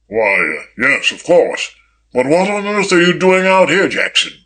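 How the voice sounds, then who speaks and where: Audiobook master of servants, read by a man: brusque, crisp-edged, commanding gentleman with a forceful bite. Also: mid-range voice, expressive, measured pace. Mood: surprised, sharp. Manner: brusque, sharp, authoritative.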